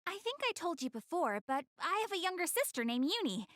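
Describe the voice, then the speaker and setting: A high, very animated young goddess girl from an anime video game, recorded dry and close. She is proud and slightly haughty, yet shy and earnest, easily flustered and hesitant when she opens up. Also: a little fast, dry recording, full character voice. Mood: friendly, open. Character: shy, prideful young goddess girl, tsundere but caring. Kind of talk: English-dubbed anime video game acting.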